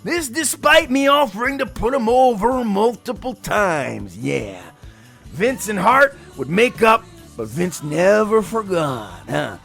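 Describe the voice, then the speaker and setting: Narrator: boastful macho pro wrestler, high gravelly voice, slow dramatic promo delivery. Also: male voice, bright, forceful tone, full character voice. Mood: bombastic, wry. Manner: punctuates sentences with emphatic interjections. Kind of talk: exaggerated wrestling-promo storytelling.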